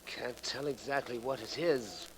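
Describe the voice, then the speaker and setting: An actor playing a talkative, nervous apartment doorman with a folksy, halting manner in a 1940s radio drama. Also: medium pitch, slight background noise, natural voice, rough recording. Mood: uneasy.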